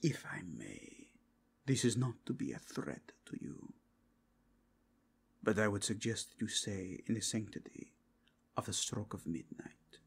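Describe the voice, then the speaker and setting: A man's voice: on the low side, very animated, a little slow, gravelly, pauses often, breathy edge, full character voice. A man voicing a zealous, courteous inquisitor with formal, grave, archaic phrasing in a fantasy ASMR roleplay. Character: zealous male inquisitor, solemn, courteous, devoutly faithful.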